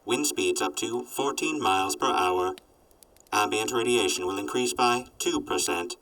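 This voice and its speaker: A man voicing a sardonic robot companion in an audio drama, reporting in a formal, clipped deadpan. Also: fairly low pitch, a little slow, recorded from a distance, low-quality recording. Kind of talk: post-apocalyptic audio drama acting.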